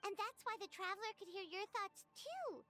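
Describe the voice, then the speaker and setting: A woman voicing a tiny, childlike fairy companion in a video game, squeaky, perky, inquisitive and outspoken. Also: expressive, moderate pace. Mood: curious. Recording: studio voice over game music.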